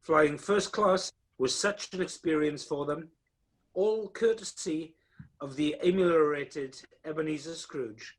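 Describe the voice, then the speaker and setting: A man's voice: a little high, unhurried, poor audio. A man playing the narrator of an amateur radio play, telling the story directly to the listener. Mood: storytelling.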